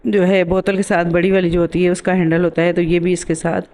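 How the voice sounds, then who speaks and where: A woman's voice: on the low side, little pitch movement, a little fast, darkish tone. A woman with a sore throat giving a casual voice-over for a DIY craft tutorial as the host.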